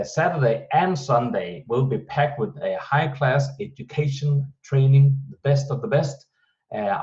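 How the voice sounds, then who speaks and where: A man's voice: medium pitch, darkish tone. Muffled man hosting a video call. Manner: halting, many pauses while searching for words. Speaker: host of an online team meeting. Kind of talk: welcoming, announcing event details.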